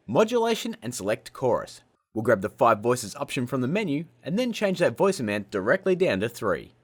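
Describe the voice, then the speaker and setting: A man hosting a casual software tutorial in a cheeky, self-mocking way, with jokey asides. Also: mid-range voice, slightly rough. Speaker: host of a video-editing tutorial show. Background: music.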